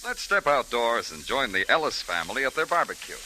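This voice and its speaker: A man's voice: mid-range voice, big pitch swings, brisk, pushed and bright, slight background noise, rough recording. A man announcing on vintage radio, reading sponsor spots and credits with polished, emphatic salesmanship. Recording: old radio broadcast recording.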